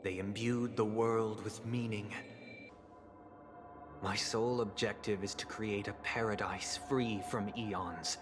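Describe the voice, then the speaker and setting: A man's voice: on the low side, steady tone, takes it slowly. A man playing a composed, grandiose villain in a video game, speaking in formal, theatrical oratory. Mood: solemn conviction. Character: grandiose, composed zealot villain, man preaching an ordered paradise. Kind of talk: video game cutscene acting. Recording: orchestral music behind the voice.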